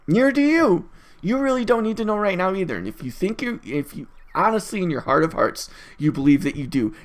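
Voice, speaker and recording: A person recording a casual solo audio log, rambling in an opinionated, exasperated and emphatic way with frequent tangents and swearing. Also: medium pitch. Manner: rambling, easily distracted, opinionated.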